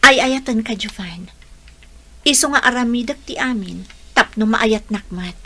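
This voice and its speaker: A woman's voice: fairly high pitch, unhurried, bright, forceful tone, full character voice. A woman playing a devoted, lovesick young bride in an Ilocano radio drama, earnest and emotional, with a Filipino accent. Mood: eager, affectionate.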